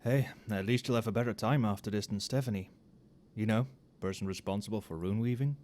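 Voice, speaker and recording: A man playing an overworked magic professor in a casual, soft-spoken ASMR roleplay, muttering with dry sarcasm. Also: fairly low pitch, fairly quick, lightly acted. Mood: casual, chatty. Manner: casual, muttering, dryly sardonic. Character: wry, overworked male magic professor grading papers late at night.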